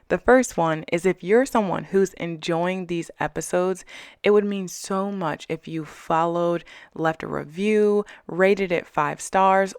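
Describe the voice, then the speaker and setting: A woman hosts a podcast in an upbeat, friendly way. Her voice sits a little low and the sound is dry and close, with no room around it. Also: smooth, no room sound. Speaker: podcast host. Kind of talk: podcast interview.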